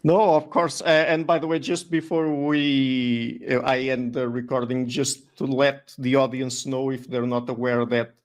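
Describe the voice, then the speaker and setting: A man's voice: mid-range voice, flat delivery, unhurried, hardly any pauses. A man hosting an interview podcast, speaking casually with fillers and a friendly sign-off. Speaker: podcast host.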